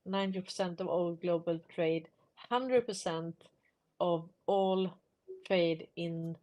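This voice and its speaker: A woman from Sweden with a slightly low voice and a Swedish accent. She is a native Swedish speaker hosting a livestream, talking off the cuff and often restarting as she explains. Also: fairly even intonation, slow, darkish tone. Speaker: live stream host. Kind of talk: casual unscripted livestream discussion.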